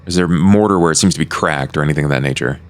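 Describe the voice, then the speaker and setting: A man's voice: a bass voice, rapid-fire. A man playing a tabletop roleplaying game, talking casually and tentatively as he thinks aloud.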